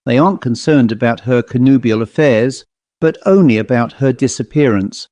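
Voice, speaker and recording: Storybook gentleman played by a male reader: educated, brisk, puzzled, mellow tone, close dry sound. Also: mid-range voice, no echo, close to an everyday voice. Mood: puzzled, musing. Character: perplexed upper-class gentleman bachelor, master of the house. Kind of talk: audiobook character voice.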